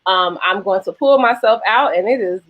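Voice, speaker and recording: A woman hosting a live online book event in a casual, effusive and excited manner. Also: medium pitch, normal speed, lo-fi sound.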